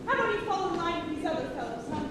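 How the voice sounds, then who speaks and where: A woman on stage playing an eccentric sailor who lectures in declamatory, old-fashioned literary language with dramatic pauses. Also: very high-pitched, sing-song, noisy background. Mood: grandly excited. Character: Elijah, eccentric theatrical sailor-lecturer musing over whales. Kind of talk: theatrical stage monologue. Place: large theatre auditorium, live stage performance. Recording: echoey auditorium from far off, music behind. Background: loud music.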